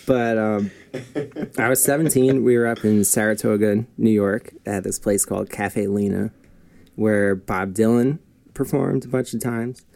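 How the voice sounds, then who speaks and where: A man's voice: lowish voice, steady tone, measured pace, creaky, darkish tone. A young man, a guest musician, chatting casually and laughing often at himself. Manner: laughs often, self-deprecating. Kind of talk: casual podcast chat.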